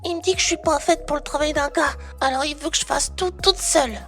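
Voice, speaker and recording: Squeaky, eager young country girl. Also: a little fast, a bit bright, faint music behind. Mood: apologetic, earnest. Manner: rustic, bubbly, apologetic, folksy turns of phrase. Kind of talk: French audio roleplay acting.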